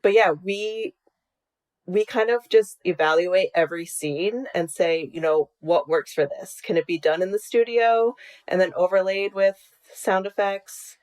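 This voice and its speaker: Woman, slightly low pitch, casual interview chat, podcast creator, video call. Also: measured pace, darkish tone.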